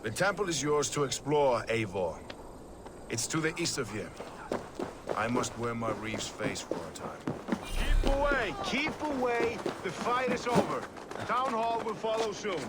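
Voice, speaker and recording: A man's voice: fairly high pitch, very animated, a little slow, very noisy. An actor playing a pragmatic, hard-nosed male medieval city reeve in a video game, blunt and authoritative. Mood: dry, then commanding.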